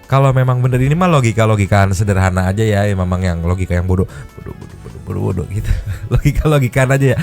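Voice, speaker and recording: A man hosting a casual podcast, reacting to clips with animated, teasing commentary. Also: lowish voice, quick pace, hardly any pauses, soft background music. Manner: animated, joking, teasing asides. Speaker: podcast host.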